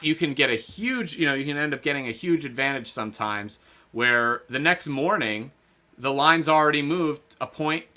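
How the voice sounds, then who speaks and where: This is a man with a very bright, forward tone, co-hosting a sports betting chat. His talk is loose and rambling, full of fillers and restarts. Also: on the high side. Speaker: podcast co-host.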